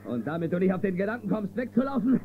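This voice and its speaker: An actor playing a confident, commanding space-western marshal with a firm, authoritative manner in a children's radio play. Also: male voice, high-pitched, very animated, quick pace, a little hiss or hum. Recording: muffled phone-like old cassette sound.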